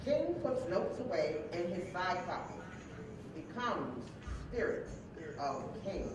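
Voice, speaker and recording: Distant, echo-heavy hall recording of a woman narrating a staged reading. Her lowish voice is lively and varied as she reads out short stage directions. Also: takes it slowly, noisy background.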